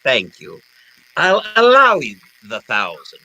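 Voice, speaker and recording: A man's voice: mid-range voice, huge pitch swings, slow, sharp tone, slight background noise, heavily acted, rough recording. A man playing a suave, jovial older villain in an old-time radio drama, with a pompous, florid, theatrical delivery. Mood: jovial, grandiose.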